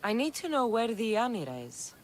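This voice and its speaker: A woman's voice: fairly high pitch, sing-song, brisk, slight background noise. An actress playing a tough, blunt but caring female mercenary in a video game. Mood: resolute. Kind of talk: video game dramatic acting. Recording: game music underneath. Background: background music.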